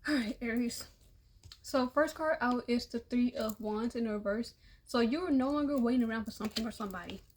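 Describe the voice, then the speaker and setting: A young woman with a slightly high voice gives a relaxed tarot reading. She is losing her voice and sounds a little worn. She talks in a loose, repetitive way and sometimes drops to a soft murmur. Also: a little fast, slight background noise. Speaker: tarot reader. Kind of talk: casual tarot reading for all zodiac signs.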